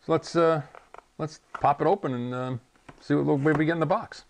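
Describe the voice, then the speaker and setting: A man's voice: a little high, brisk, vocal fry, a little hiss or hum. Chatty older man unboxing a radio. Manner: chatty, rambling, chuckles often. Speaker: ham radio channel host. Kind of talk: casual product unboxing vlog. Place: home radio room, indoors. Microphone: lapel mic.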